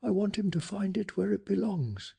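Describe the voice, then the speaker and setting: A man voicing a determined but shaken young boy in a hushed, urgent storybook reading. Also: high-pitched, lively intonation, normal speed, gravelly, slightly rough, pitched above the performer's own voice, exaggerated voice. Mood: urgent insistence.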